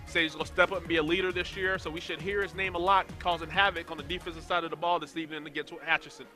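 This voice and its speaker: A young man giving relaxed, chatty analysis as color commentator at a high school football game. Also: rapid-fire, soft background music.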